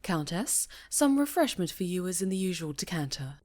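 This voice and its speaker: A woman playing a proud, guarded high enchantress in an ASMR roleplay, speaking in a measured, courteous, formal way. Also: big pitch swings, a little mellow. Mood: gracious, measured.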